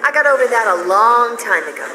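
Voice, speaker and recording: A woman's voice: fairly high pitch, lively intonation, fairly quick, background noise, natural voice. An actress playing a bitter young woman rival in a video game cutscene, speaking in a cool, mocking way. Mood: scornful. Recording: echoey game audio with music.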